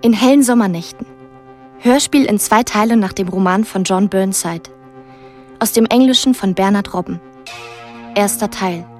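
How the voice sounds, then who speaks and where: A woman's voice: mid-range voice, normal speed, pauses often, faint music behind. A woman announcing the title and credits of a radio drama in a scripted style.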